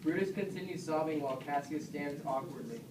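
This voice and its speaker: A man narrates an amateur puppet play by reading stage directions. His voice is a little high. The room is echoey, with noticeable background noise. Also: brisk. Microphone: distant camera microphone. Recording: echoey noisy room, far from mic.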